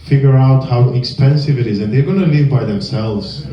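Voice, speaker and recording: A young man from northern Romania with a Romanian accent, performing a halting, filler-heavy deadpan stand-up set in a small comedy club. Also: medium pitch, far from the mic, a crowd in the background. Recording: echoey room, heard from afar, dull sound. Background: audience laughter.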